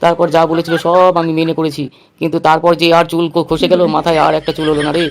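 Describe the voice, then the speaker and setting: A man's voice: fairly high pitch, flat delivery, fast talker, creaky. A man voicing a gullible, earnest bald buffoon in a comic cartoon. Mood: plaintive.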